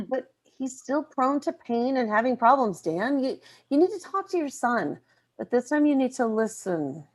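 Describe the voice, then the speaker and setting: A firm, sardonic sitcom mom played by a woman. Her voice sits fairly high and moves a lot, leaning wryly on key words as in a script read. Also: sing-song, moderate pace, rough recording. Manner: emphatic, wry. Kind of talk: comedy script table read.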